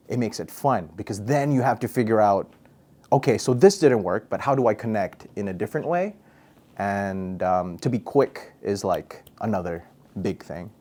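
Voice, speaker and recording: A man's voice: lowish voice, a little mellow. Young guy from guam, radio dj type, chill and goofy, lots of likes and ums. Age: young adult. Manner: goofy, laid-back, chatty with fillers. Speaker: radio personality and MC, interview guest. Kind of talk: casual interview answers.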